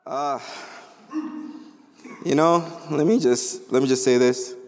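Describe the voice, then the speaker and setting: A young man from Jamaica, a student preacher, giving an animated chapel sermon with rising, emphatic phrases. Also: medium pitch, slow pace. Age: young adult. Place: large chapel. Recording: echoey chapel hall.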